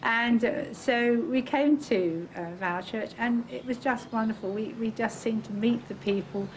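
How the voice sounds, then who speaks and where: A woman's voice: a little high, unhurried, a little hiss or hum, low-quality recording. An older English woman in her sixties recalling things enthusiastically in a casual interview. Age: older, 60s. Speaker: interviewee, literary fan. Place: outdoors beside old stone building.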